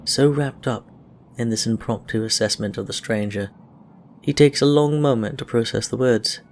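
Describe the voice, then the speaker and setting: A man's voice: medium pitch, normal speed. A man reading a scripted story aloud as an audiobook-style narrator. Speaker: audiobook-style reader of fan fiction. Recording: clear background noise.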